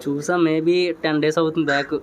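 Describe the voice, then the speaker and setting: A man's voice: fairly high pitch, level tone, brisk, a crowd in the background. A man chatting flirtatiously on a phone call in a polite, coaxing way. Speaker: prank caller.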